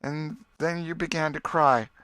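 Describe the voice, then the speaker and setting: A man's voice: fairly high pitch, steady tone, unhurried, sharp tone, dry recording, pitched above the performer's own voice. A man voicing a mischievous, earnest young boy with a rural Southern American accent, telling his tale in an audiobook. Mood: earnest, halting. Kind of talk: audiobook character voice.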